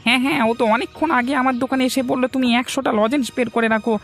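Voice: high-pitched, steady tone, racing, fairly bright tone, faint music behind. An actor voicing a flustered village shopkeeper in a Bengali moral-story cartoon. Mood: nervous, explaining.

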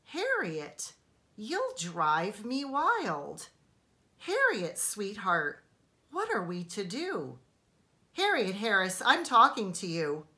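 A woman's voice: mid-range voice, wildly swooping pitch, sharp tone, full character voice. Woman acting out an exasperated, loving storybook mother, drawn out. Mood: strained patience. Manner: slow, drawn-out, restrained scolding with endearments. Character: exasperated but loving mother of a pesky child.

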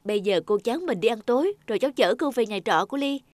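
Woman acting considerate young woman, higher voice. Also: expressive, fast talker, a little mellow, no echo, studio quality, lightly acted. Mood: caring. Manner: polite, attentive, caring. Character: helpful, considerate young female office worker. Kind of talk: cartoon dialogue acting.

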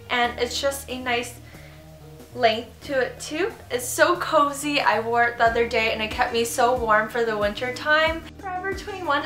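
A woman's voice: high voice, a bit bright. A young woman in her twenties with a cold and a congested voice, chatting bubbly and enthusiastic in a casual fashion haul vlog from her bedroom. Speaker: fashion vlogger. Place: bedroom, indoors. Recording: roomy echo with background music.

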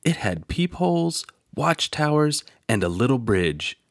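A man narrating a children's picture book aloud in an expressive storytelling manner. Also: medium pitch, a little slow, creaky, no room sound, studio quality.

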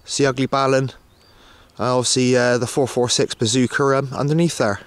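A man narrating a casual outdoor vlog in a hesitant, matter-of-fact way, often pausing as he goes. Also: mid-range voice, fairly even intonation. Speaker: radio hobbyist filming himself. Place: outdoors on an open hilltop. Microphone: handheld camcorder, operator behind camera.

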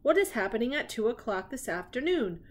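Woman reading as young puppet boy, earnest, friendly, a little worried, lively pitch, storybook character. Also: a little fast. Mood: fond, earnest. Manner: earnest, musing, gee-whiz.

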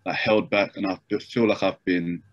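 A man's voice: deep voice, steady tone, normal speed, no room sound, highs cut off. A young man on a video call from his bedroom, telling his story with many fillers and restarts. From Japan. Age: young adult. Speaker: interview guest. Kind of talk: casual video-call interview. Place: bedroom at home. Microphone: laptop webcam mic on video call.

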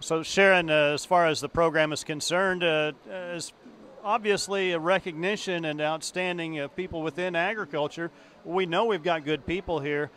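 A man's voice: on the high side, fairly even intonation, normal speed, fairly bright tone, slight background noise. Man interviewing with a handheld mic. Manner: conversational with fillers. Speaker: farm broadcaster interviewer. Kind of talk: field interview. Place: indoor exhibition hall.

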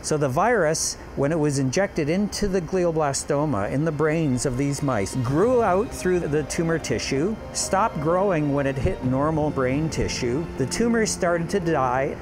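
An older man, a researcher, explaining his work earnestly in a documentary interview in a lab. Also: on the high side. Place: lab, indoors.

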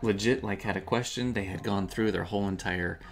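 A man's voice: on the low side, a little fast. Laid-back man chatting about a game. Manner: relaxed, explains step by step with many fillers. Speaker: co-host. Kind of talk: casual gaming chat. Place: small home room.

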